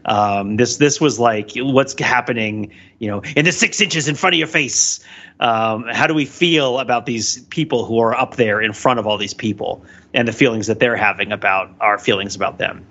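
Bright-voiced man, slightly muffled audio. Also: mid-range voice, moderate pace. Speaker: podcast host. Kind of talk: casual humorous commentary.

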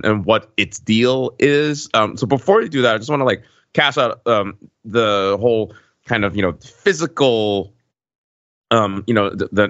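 A man with a slightly low but bright, pressing voice. He discusses films casually as a podcast co-host, starting haltingly and repeating words. The recording is dry and a little dull. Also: dry recording. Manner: halting, often repeats words and checks with "right?".

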